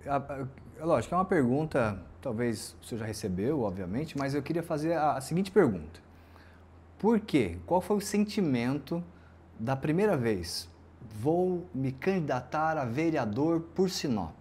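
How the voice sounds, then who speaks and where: A male talk show host asking an interview question in a halting way, restarting his phrases. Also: fairly high pitch, very animated, a little mellow, slightly rough.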